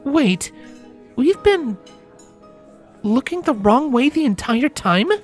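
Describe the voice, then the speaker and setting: Man voicing a high-pitched, brisk woman scientist. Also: sing-song, faint music behind, exaggerated voice. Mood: dawning excitement. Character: sceptical, brisk female scientist in charge.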